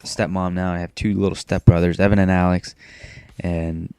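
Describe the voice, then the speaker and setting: A teenage boy from Maine rambling in a self-described monotone, talking casually over his gameplay with frequent restarts. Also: low voice, normal speed, clean tone, no echo. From Maine, USA. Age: teens. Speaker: gaming commentator.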